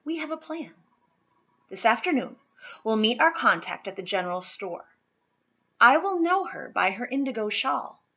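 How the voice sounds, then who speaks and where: A brave, protective storybook mother, read by a woman, speaks with steady firmness. Her voice is bright and a little raspy, each phrase deliberate. The sound is slightly dull and lo-fi. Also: fairly high pitch, voice pushed up, exaggerated voice. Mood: calm, reassuring. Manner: calm, firm, deliberate.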